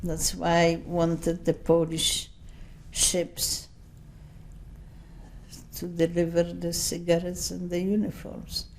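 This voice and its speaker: An older woman in her seventies tells her story in English that is not her first language, wandering through asides. Her voice sits low and carries a gravelly fry. She talks at a slow, unhurried pace. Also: completely flat delivery, a little hiss or hum, low-quality recording. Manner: slow, rambling, anecdotal with many asides; speaks English as a second language. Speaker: interviewee giving oral history testimony. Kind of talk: unscripted recollection.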